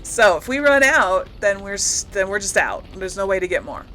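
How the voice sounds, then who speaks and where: A woman's voice: medium pitch, animated, moderate pace, a bit bright, slight background noise, rough recording. A woman giving casual, rambling commentary as she plays a game, often correcting herself and lightly amused. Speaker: gaming host.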